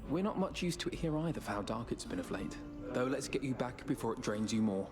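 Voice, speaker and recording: A man's voice: mid-range voice, expressive, fast, lightly acted. A man voicing an easygoing, encouraging young god in a video game, speaking in casual, informal phrases. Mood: concerned. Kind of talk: scripted video game voice acting. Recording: music behind the voice.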